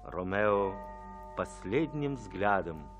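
A man narrating a radio drama from a script in a solemn, measured, dramatic way. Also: lowish voice, animated, over music.

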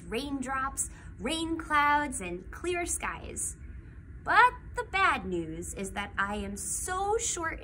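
Woman, bright animated voice, weary storybook character, deliberate, faint room noise. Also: high voice, poor audio. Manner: expressive, deliberate. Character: weary, stubby blue crayon. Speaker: school staff member reading aloud. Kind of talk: dramatic children's storybook reading. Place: large indoor school room, standing back from camera.

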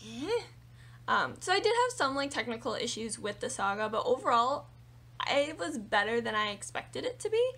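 A young woman book vlogger chatting casually and animatedly about her reading, her voice a little raspy from singing. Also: on the high side, moderate pace. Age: young adult. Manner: animated, chatty, lots of fillers and hand gestures. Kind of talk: casual monthly reading wrap-up. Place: small room with bookshelves indoors.

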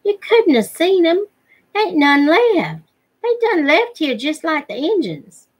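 A woman's voice: very high voice, very animated, takes it slowly, voice pushed up, exaggerated voice. Woman reading as a sceptical country boy. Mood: disbelieving. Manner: rural dialect, challenging.

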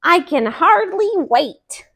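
A woman voicing a scheming, gloating male fox from a storybook, loud and eager. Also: very high voice, sing-song, takes it slowly, pitched above the performer's own voice, heavily acted.

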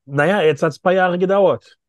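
A man's voice: high-pitched, moderate pace, no room sound. A man in his thirties hosting a video-call interview outdoors, talking emphatically and repeating phrases for stress. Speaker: host. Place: outdoors by a pool with greenery. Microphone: phone or laptop camera, video call.

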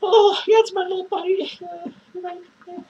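A woman playing an unhinged, drunk male clown who rambles crudely, cackles manically and lurches between shouts and mutters. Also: very high-pitched, fairly even intonation, moderate pace, muffled recording, very noisy. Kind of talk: improvised character monologue to viewers.

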